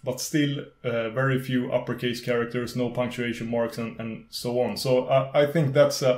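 A young man whose first language is Swedish, casually talking through a screen-recorded software tutorial, rambling with many fillers and restarts. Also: medium pitch, reverberant. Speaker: tech video host.

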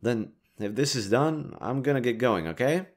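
A man's voice: mid-range voice, lively intonation, normal speed, lightly acted. A man acting a kind, teasing young stranger who guides a lost girl, speaking casually to the listener in an intimate roleplay audio drama. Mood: casual, helpful. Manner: casual, playfully teasing, mildly awkward, swearing.

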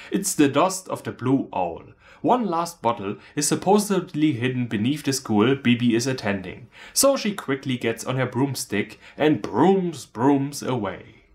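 Animated man with a German accent. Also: mid-range voice. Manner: sarcastic, theatrical. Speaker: film reviewer. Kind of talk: scripted comedic review narration. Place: small room indoors, on a couch.

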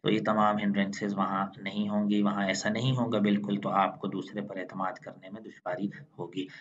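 A man, hosting a channel and talking through a mind map lesson in a measured, explanatory way. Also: fairly low pitch, level tone, muffled recording. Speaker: channel host.

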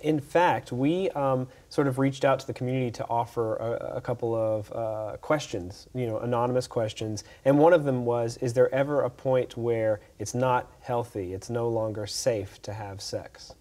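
This is a man hosting a round-table discussion. His voice is mellow and soft on top, at an average pitch. He moderates and puts questions to the panel in a TV studio. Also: moderate pace, hardly any pauses.

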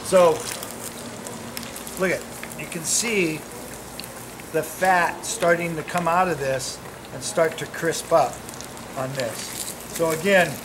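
A man's voice: on the high side, steady tone, takes it slowly, long pauses, noisy background. A man in his fifties, a fishmonger giving an upbeat, joking cooking demo in a small shop kitchen, recorded on a camera mic. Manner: upbeat, joking, salesman-like chatter. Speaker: fishmonger demonstrating seafood.

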